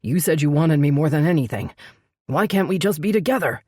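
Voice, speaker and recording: Woman voicing a low, possessive vampire lover. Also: lively intonation, fairly quick, husky, no echo, studio quality. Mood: frustrated. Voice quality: low, growling. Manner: forceful, insistent, swearing. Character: possessive, hot-tempered male vampire lover, intense and stubborn. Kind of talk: dramatic romance audiobook dialogue.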